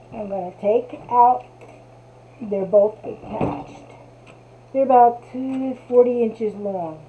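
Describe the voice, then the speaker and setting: Chatty Jersey woman, tinny sound. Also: a little high. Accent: New Jersey. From New Jersey. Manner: chatty, talks to herself while working. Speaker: craft tutorial host. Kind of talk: casual craft demonstration. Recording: tinny, phone-like audio.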